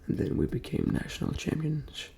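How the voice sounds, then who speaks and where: A young man from Denmark speaking soft, breathy ASMR in a gentle near-whisper, repeating phrases as he talks in a personal, motivational way. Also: moderate pace, slight background noise. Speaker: ASMR creator and host.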